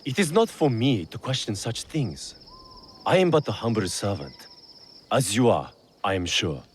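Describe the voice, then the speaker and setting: A man's voice: fairly low pitch, big pitch swings, moderate pace, a little hiss or hum. A man playing a sly, falsely humble temple servant in a video game, with an unctuous, veiled manner. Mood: sly, mock-humble. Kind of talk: video game cutscene acting.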